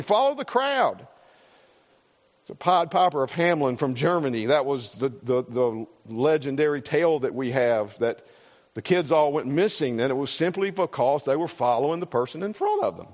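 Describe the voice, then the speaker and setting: High-voiced Southern pastor in a reverberant hall. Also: male voice, expressive, normal speed. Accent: Southern US, South Carolina. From South Carolina. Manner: folksy storytelling, earnest, repeats words. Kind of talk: sermon with a personal story. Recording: reverberant hall, dull lo-fi sound.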